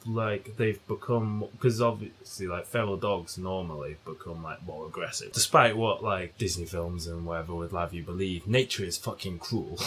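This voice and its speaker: A man's voice: low voice, normal speed, hardly any pauses, a bit bright, slight background noise. A British man co-hosting a comedy podcast, telling rambling, sweary anecdotes in a casual way. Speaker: podcast co-host.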